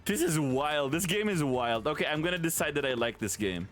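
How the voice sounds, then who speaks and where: A man's voice: a little high, lively intonation, fairly quick, fairly bright tone. A man doing casual, offhand commentary as he plays a video game. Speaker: let's play streamer. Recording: game music faintly behind.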